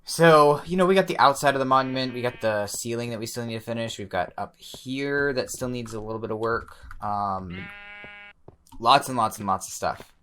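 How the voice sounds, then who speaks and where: A young man with a slightly hoarse voice, quietly talking through his gameplay, often pausing and restarting his sentences. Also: medium pitch, a little hiss or hum. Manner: thoughtful, pauses and restarts sentences; soft-spoken, many fillers. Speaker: let's play host. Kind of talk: relaxed gameplay commentary.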